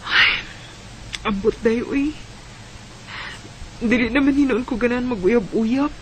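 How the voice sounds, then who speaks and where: A woman's voice: on the high side, measured pace, fairly bright tone, slight background noise, rough recording. An actress playing a young woman torn between love and family in a sentimental radio drama, with a Filipino Bisaya accent. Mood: reluctant, shy.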